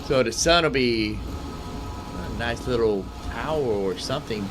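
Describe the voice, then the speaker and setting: A man's voice: mid-range voice, unhurried. A man giving casual, chatty let's play commentary, thinking aloud as he goes. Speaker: gaming channel host. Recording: clear background noise.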